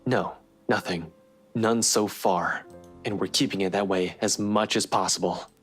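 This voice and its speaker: A woman voicing a cocky, laid-back young man in a visual novel, with a husky, curt delivery. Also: a bass voice, unhurried, faint music behind, voice pushed down, heavily acted. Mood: firm, guarded. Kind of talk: visual novel dialogue read aloud.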